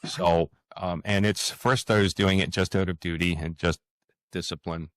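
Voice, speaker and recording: Deep-voiced man, halting and earnest. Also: fairly even intonation, no echo, clean studio sound. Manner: halting, earnest, often repeats words. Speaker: podcast guest, fantasy writer. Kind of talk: interview conversation, reflective.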